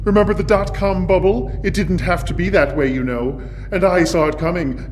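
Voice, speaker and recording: Man playing a high-voiced, pompous gloating supervillain. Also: darkish tone, slight background noise. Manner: pompous, theatrical monologuing. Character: grandiose male supervillain gloating over world domination plan. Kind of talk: comic villain monologue in a film serial.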